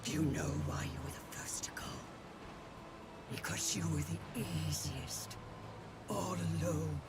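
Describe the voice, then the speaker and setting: A woman's voice: sits low, heavy background noise. An actress playing a cruel, monstrous villain woman in a visual novel, with contemptuous, mockingly condescending delivery that breaks into whispers. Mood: taunting. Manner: slow, taunting, drawn-out delivery. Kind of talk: dramatic visual novel voice acting. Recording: loud music over voice.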